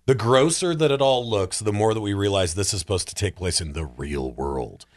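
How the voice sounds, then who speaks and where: Animated guy chatting about movies, wry, pitch goes all over, acts out little quotes. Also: a little fast. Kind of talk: casual film discussion.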